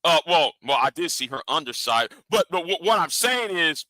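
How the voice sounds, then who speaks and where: Stammering man in his forties, bright voice. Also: a little high, big pitch swings, moderate pace, no echo. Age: 40s. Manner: rambling, stammering repeats. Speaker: live stream host. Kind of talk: casual commentary.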